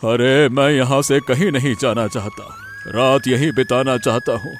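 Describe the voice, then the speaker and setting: A man's voice: mid-range voice, steady tone, normal speed, soft background music, natural voice. A man voicing a stubborn, evasive royal in a cartoon story, with a dismissive, insistent manner. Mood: puzzled, stubborn. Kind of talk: animated moral story dramatization.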